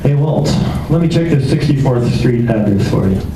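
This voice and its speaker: A man acts out a flirtatious, wisecracking private eye in a vintage-style radio play. He is suave, teasing and casual. The recording is very echoey, picked up from a distance with clear noise behind. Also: mid-range voice, expressive, moderate pace, natural voice. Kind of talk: amateur 1940s-style detective radio play acting.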